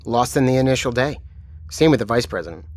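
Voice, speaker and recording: Composed military commander, played by a man, measured, mid pitch. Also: dry recording, close to an everyday voice. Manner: calm, measured, matter-of-fact. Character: calm, commanding male military chief. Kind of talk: audio drama acting.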